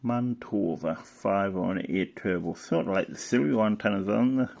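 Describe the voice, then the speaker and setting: A man giving casual, unscripted hobbyist commentary, halting and muttering as he browses, often trailing off. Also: fairly low pitch, vocal fry, darkish tone. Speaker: hobbyist presenter.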